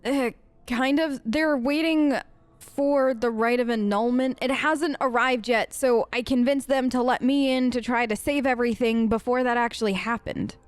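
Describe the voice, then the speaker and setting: Gamer lady role-playing out loud, casual n earnest, highish smooth voice, dry sound. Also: normal speed, no room sound. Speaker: let's play host role-playing her character. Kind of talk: casual improvised in-character gameplay talk.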